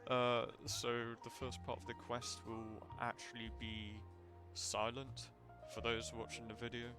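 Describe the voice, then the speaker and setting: A man chatting casually as a game streamer, low on energy while recovering and a little apologetic. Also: monotone, a little slow. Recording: music behind the voice.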